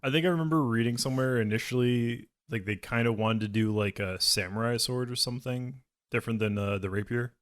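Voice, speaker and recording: A man chatting casually as a podcast co-host, with hesitant, halting phrasing. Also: mid-range voice. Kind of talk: casual chat.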